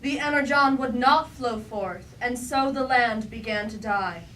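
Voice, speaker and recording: A woman's voice: fairly high pitch, a little slow, bright, forceful tone. A woman playing a grave Greek chorus member, declaiming rhythmic verse, recorded from a distance. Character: grave female Greek tragedy chorus member recounting fallen brothers. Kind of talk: staged reading of verse tragedy. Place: indoor room at a live staged reading. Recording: distant mic in a noisy room.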